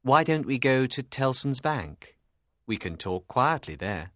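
An actor playing a cool young lawyer with quiet, smooth menace in a dramatised audiobook. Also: male voice, mid-range voice, very animated, measured pace, no room sound, studio quality. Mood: smooth, knowing.

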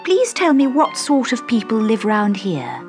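A woman's voice: on the high side, wildly swooping pitch, a little slow, over music, voice pushed up, heavily acted. A woman voicing a polite, curious young girl in a storybook, prim, earnest and easily indignant.

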